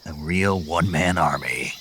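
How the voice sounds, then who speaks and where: A gruff covert soldier from a video game, low and gravelly. He is terse and dryly sardonic, with faint insect noise behind him. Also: moderate pace, a bit bright. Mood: wry, terse. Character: gruff, wry male covert soldier in the field. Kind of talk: scripted video game voice acting.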